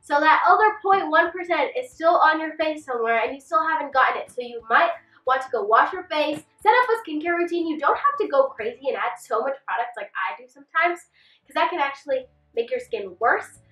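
A woman's voice: high-pitched, expressive, fairly quick, bright, forceful tone. A young woman chatting through beauty tips to camera, a little congested from a cold and with a slight lisp from a retainer. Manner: chatty, gestures a lot while seated. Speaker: beauty vlogger. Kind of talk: casual tips vlog. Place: small bedroom set with clothes rack. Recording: roomy, slightly distant camera mic.

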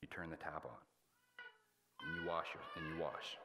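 A man in his thirties preaching a sermon as a pastor, in a halting, plain-spoken, colloquial way. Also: a bass voice, huge pitch swings, heavy background noise. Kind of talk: sermon with scripture reading. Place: indoors at a church pulpit.

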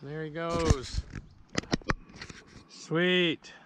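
A man's voice: a little high, steady tone, extremely slow. A middle-aged man, chatting and coaching a boy while out fishing in a small river boat, recorded on a boat camera. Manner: easygoing, coaching and encouraging. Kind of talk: casual outdoor vlog chat. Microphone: action camera mounted on the boat. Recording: faint outdoor noise from a boat camera.

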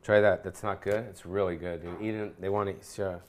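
A man's voice: deep voice, little pitch movement, fairly quick. Brief: fifties male host, playful teasing banter, home kitchen, camera a few feet off. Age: 50s. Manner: playful, teasing, laughs often. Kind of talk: casual chat.

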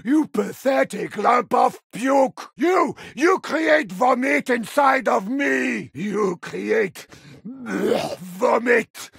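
A hot-headed German medieval warrior, yells in a very high, strained voice with a German accent. Short bombastic phrases are dragged out very slowly and theatrically. The voice is extremely rough and hoarse-edged. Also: male voice, lively intonation, no echo, clean studio sound, exaggerated voice. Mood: mocking. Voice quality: raspy, strained shouting. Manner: bombastic, exaggerated delivery of short barked phrases. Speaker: video game voice actor. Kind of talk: shouted battle voice lines for a medieval combat game.

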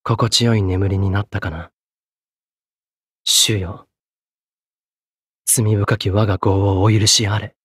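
Grave young executioner, anime game hero, low-pitched, husky breath, courteous, measured, very dry close studio sound. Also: male voice, flat delivery, fairly bright tone, no echo, heavily acted. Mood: resigned, penitent. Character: solemn, courteous young executioner, a guilt-burdened French swordsman. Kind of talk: Japanese anime game voice acting.

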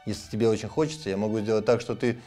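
Guy being a magic talking spring in a kids storybook, calm n kindly, mellow voice. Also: on the low side, rapid-fire, soft background music, natural voice. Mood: advising.

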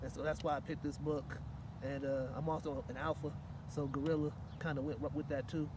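A man's voice: a little high, little pitch movement, fairly quick. A middle-aged man reading a children's picture book aloud as a guest, outdoors at a park table. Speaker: guest story reader. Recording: distant microphone, light outdoor noise.

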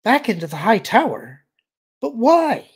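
A man acts out a young winged alien from a storybook, raised well up in pitch. The creature is earnest and eager, speaking in formal, careful phrases with an anxious edge and a slightly husky grain. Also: lively intonation, normal speed, a little mellow. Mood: anxious, pleading.

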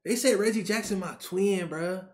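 A man in his twenties, a YouTube creator, talking to camera in an animated, slangy rant, repeating himself, from a small bedroom on a webcam mic. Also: high voice, normal speed. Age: 20s. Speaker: YouTube sports reaction creator. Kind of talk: excited casual rant to camera.

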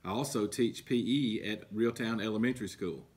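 A middle-aged man reading a picture book aloud to children as a coach, explaining in a deliberate, emphatic, instructive way. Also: on the low side, breathy edge. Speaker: baseball coach and PE teacher. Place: indoor school room with book shelves.

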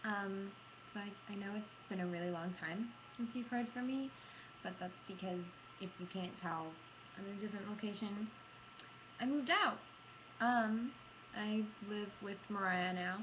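A young adult woman chatting casually to camera as a gaming vlogger, with an animated, self-deprecating manner and dramatic emphasis. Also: mid-range voice, moderate pace. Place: small room at home, indoors. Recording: muffled lo-fi webcam audio, background noise.

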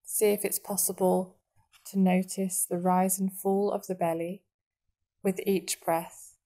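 A woman guiding a meditation in a soothing, measured manner, leaving long pauses between short phrases. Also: mid-range voice, flat delivery, mellow. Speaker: meditation guide. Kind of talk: guided body scan meditation narration.

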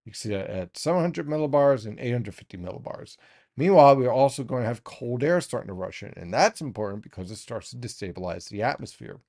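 A man in his thirties presents a weather forecast to the camera from a small home studio. He explains at an even, steady pace and addresses his viewers directly, recorded on a desk mic. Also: medium pitch, quick pace.

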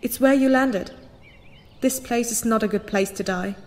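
Woman as a high-voiced, matter-of-fact phantom daughter. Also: normal speed, a little mellow, long reverb tail, lightly acted. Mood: blunt. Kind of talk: sci-fi audio drama acting.

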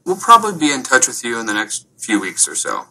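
Bright, lively male interviewer. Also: mid-range voice, gravelly, rough recording. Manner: polite, measured, scripted questioning. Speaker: interviewer, communication course instructor. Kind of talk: structured interview questions.

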